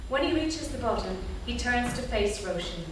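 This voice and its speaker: A woman's voice: on the high side, lively intonation, normal speed. Woman narrating in a very echoey theatre. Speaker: narrator reading stage directions. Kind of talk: scripted narration at a staged reading. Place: theatre stage before an audience. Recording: echoey theatre, distant, faint noise.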